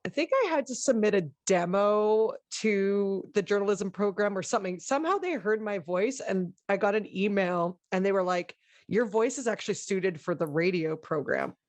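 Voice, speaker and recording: A young adult woman with a low voice, talking in a loose, chatty way. She laughs easily and fills her sentences with "like" as she tells her story on a podcast. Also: lively intonation, normal speed, a little mellow. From British Columbia, Lower Mainland. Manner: chatty, laughs often, uses "like" a lot. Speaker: podcast guest, broadcaster. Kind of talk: casual interview chat.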